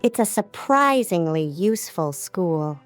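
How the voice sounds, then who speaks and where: A woman's voice: fairly low pitch, lively intonation, measured pace, faint music behind. A woman playing a meek, innocent young sorceress who explains herself earnestly in a fantasy audiobook. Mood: earnest, defensive. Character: meek young female sorceress, innocent, softly defensive scholar. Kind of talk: fully voiced fantasy audiobook dialogue.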